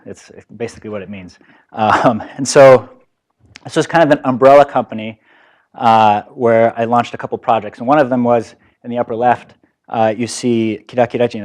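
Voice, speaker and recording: A man in his thirties who grew up in Japan, giving a lecture about his career as a startup founder, talking easily with many fillers and restarts. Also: medium pitch. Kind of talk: conference lecture. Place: lecture hall.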